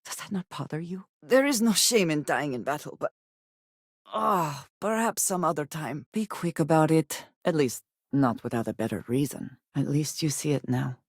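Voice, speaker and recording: A guarded, formal space companion, dry sound. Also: female voice, low-pitched, no echo. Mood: serious, measured. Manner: formal, measured speech without contractions. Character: guarded, solemn woman companion in a space adventure. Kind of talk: scripted video game voice acting.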